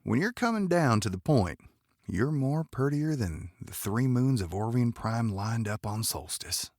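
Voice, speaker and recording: A man playing a protective, smitten, folksy romantic lead in an audio drama, earnest and halting, with a gravelly voice. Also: medium pitch, big pitch swings, darkish tone, dry recording, clean studio sound. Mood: admiring.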